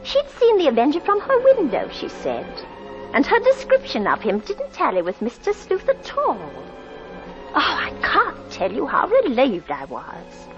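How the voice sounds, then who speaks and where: A woman's voice: sits high, huge pitch swings, unhurried, exaggerated voice. A woman playing a fearful middle-aged landlady and mother, narrating breathlessly and melodramatically in 1940s radio style. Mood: tense confiding. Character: anxious, suspicious landlady, wife and mother. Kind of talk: 1940s radio acting, dramatic first-person narration. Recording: dull 1940s radio recording. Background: dramatic music.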